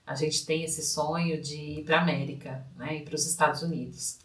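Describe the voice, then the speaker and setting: A woman's voice: sits low, steady tone, moderate pace. A woman from São state, co-hosting a podcast and telling her family's story in a chatty way, checking in with tag questions. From Brazil, São Paulo state. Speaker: podcast co-host. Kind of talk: casual conversational storytelling. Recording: echoey room, mic at a distance.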